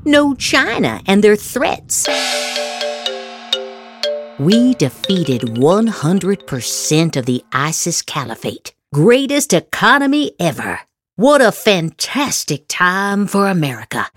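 A woman's voice: fairly low pitch, big pitch swings, takes it slowly. A woman host reading social media posts aloud in a theatrical, emphatic way, drawing out her words. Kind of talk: dramatic verbal replay of written posts. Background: music.

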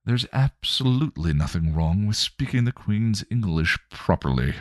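A man voicing a prim, formal young gentleman, the fussy soul of a vampire. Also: lowish voice, expressive, normal speed, no echo, studio quality, voice pushed up, natural voice.

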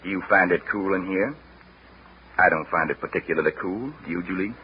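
A man playing a stern, coolly menacing young gentleman of the South in a 1940s style radio drama. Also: sits low, brisk, close to an everyday voice. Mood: icy, challenging. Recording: muffled, noisy vintage radio broadcast.

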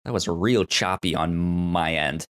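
A man co-hosting a podcast in casual TV recap chat, excitable and often stammering over repeated words. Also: low voice, measured pace. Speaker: podcast co-host.

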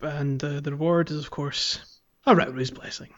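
Higher-voiced man, slightly dull mic. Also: fairly even intonation, normal speed, fairly bright tone, no room sound. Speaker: podcast co-host. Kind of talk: casual gaming podcast chat.

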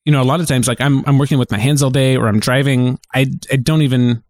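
A man co-hosting a podcast, chatting casually in a halting, self-correcting way. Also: medium pitch, steady tone, a little mellow, no echo, studio quality. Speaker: podcast co-host.

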